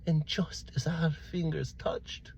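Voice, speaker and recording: A man voicing a younger priest in a folktale, earnest and one-upping, with drawn-out declamation. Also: a little high, steady tone, vocal fry, darkish tone, slightly rough, muffled recording, pitched above the performer's own voice, full character voice. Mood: smug wonder.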